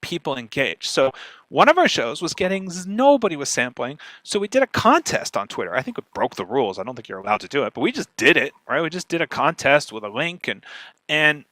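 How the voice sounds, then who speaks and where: A man with a slightly high, bright voice talks as a guest expert in a close, dry recording. He explains casually and emphatically, often checking that the listener is following. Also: big pitch swings, fairly quick, dry recording. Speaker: guest speaker, podcast marketer.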